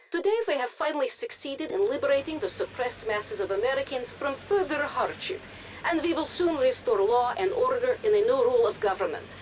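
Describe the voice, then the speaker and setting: An actress playing a composed, authoritative Soviet propaganda news anchor, reading formally in a video game broadcast. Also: female voice, a little high. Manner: formal, measured delivery.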